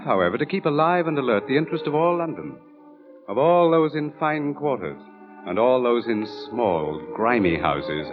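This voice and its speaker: Male announcer, dramatic scripted narration, sweeping intonation, portentous, muffled old radio recording, faint music. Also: medium pitch.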